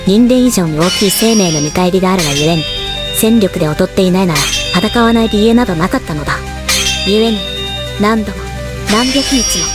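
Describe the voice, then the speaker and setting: A woman voicing a stoic, battle-hardened female death god in an anime drama, with measured, composed dramatic delivery. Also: mid-range voice, lively intonation, over loud music, close to an everyday voice. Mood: reflective. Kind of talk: anime voice drama, scripted narration and dialogue.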